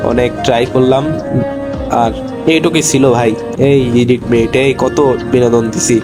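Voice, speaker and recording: A young man with a Bangladeshi accent giving casual, slangy, chatty commentary as a gaming video creator. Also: mid-range voice. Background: clear music.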